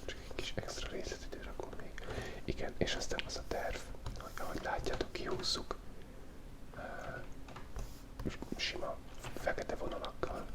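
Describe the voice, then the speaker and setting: Whispered, playful ASMR artist. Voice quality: breathy, unvoiced whisper. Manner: playful, joking, musing aloud. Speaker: ASMR video creator narrating a drawing. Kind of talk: whispered ASMR, rambling casual drawing commentary. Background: writing and drawing sounds, keyboard clicks.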